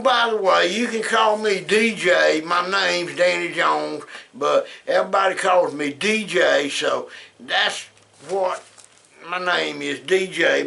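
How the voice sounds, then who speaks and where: A man's voice: high voice, creaky, sharp tone. A man in his seventies from South Georgia with a Southern accent, rambling through folksy life stories and advice as the host of his own channel. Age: 70s. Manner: folksy, rambling, drawn-out with many pauses. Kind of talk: casual storytelling monologue.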